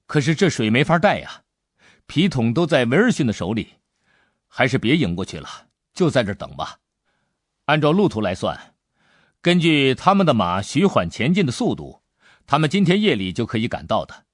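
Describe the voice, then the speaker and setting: A man voicing a kindly, composed gentleman adventurer in an audiobook, speaking in a measured, fatherly manner. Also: medium pitch, very animated, no room sound, clean studio sound. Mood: calm, planning. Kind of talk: audiobook character dialogue.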